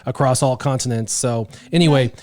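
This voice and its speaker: A man's voice: mid-range voice, fairly quick, a little mellow. A man hosting a casual podcast chat, talking into a close studio mic with lots of fillers and laughs. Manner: chatty, laughing, rambling with fillers. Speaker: podcast host.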